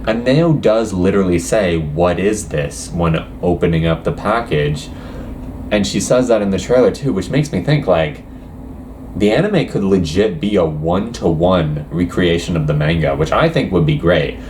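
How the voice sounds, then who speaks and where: A man's voice: deep voice, lively intonation, moderate pace, darkish tone, slight background noise. A young man casually reacting to anime news, reading quotes aloud and rambling with lots of hesitations.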